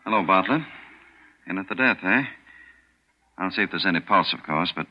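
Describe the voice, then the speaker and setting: A deep-voiced, sinister doctor. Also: male voice, normal speed. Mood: menacing. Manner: calm, menacing. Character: cold, sinister male doctor. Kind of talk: 1940s radio acting. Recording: dull old radio broadcast.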